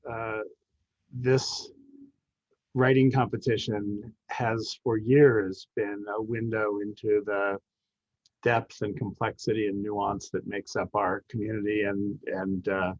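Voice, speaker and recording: A man with a lowish voice gives reflective remarks as a dean. His phrasing is halting and thoughtful, and the recording is dry and slightly muffled. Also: flat delivery, dry recording. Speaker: law school dean.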